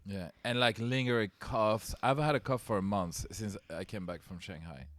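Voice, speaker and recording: A man's voice: lowish voice, normal speed, a bit bright, no room sound. A man from France co-hosting a podcast in casual chat, joking and rambling, with a lingering cough from covid. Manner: joking, rambling with restarts and fillers. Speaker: podcast co-host.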